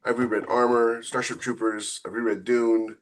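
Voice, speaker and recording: A man co-hosting a casual authors' podcast, speaking at a medium pitch. He is conversational and wry, leaning on words for emphasis as he makes his case. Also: level tone, moderate pace. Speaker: novelist and podcast co-host.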